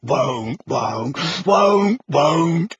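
A man voicing a bitter old male house elf who croaks out slow, stubborn refusals. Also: on the high side, expressive, no echo, muffled recording, exaggerated voice. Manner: stubborn, repeats himself, slow and muttering.

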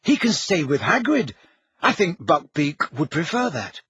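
A man's voice: fairly high pitch, very animated, a little slow, pushed and bright, slightly rough, dry recording, lo-fi sound, heavily acted. A man voicing a teenage boy wizard who talks bluntly and a little flustered. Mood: relieved.

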